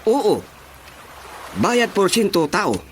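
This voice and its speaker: Muddled Indian moneylender, swinging pitch. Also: male voice, very animated, slow pace, a bit bright, slight background noise, exaggerated voice. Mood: earnest. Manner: broken, pidgin-like Tagalog phrasing. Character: muddled Indian moneylender complaining about a runaway debtor. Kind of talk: radio comedy drama acting.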